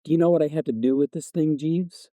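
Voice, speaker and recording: A man's voice: fairly high pitch, fairly even intonation, quick pace, mellow, dry recording, pitched above the performer's own voice, close to an everyday voice. A man voicing a biting, exasperated fiancée in her twenties in a story reading. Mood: sarcastic, exasperated. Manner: biting, sarcastic. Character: sarcastic, exasperated twenty-five-year-old fiancée, easily wounded. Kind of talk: storybook dialogue narration.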